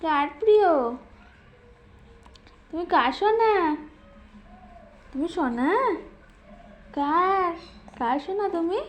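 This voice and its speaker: A woman's voice: very high voice, animated, slow pace, long pauses. A woman talking playfully to a baby in cooing, sing-song baby talk, teasing and repeating short questions. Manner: teasing, mock scolding. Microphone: phone held while talking.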